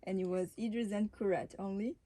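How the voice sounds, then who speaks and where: A woman hosts a campus radio chat show in a mellow, warm voice at a middle pitch. She talks enthusiastically and chattily, leaning on fillers. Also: fairly even intonation, a little fast, dry recording. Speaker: chat show host, society vice president. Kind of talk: casual chat show hosting.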